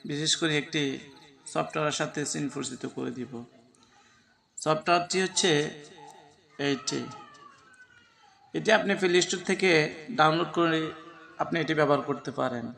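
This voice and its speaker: A man's voice: fairly high pitch, moderate pace, creaky, pauses often, fairly bright tone. A man gives a spoken step-by-step app tutorial, addressing viewers directly as friends. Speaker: tutorial host.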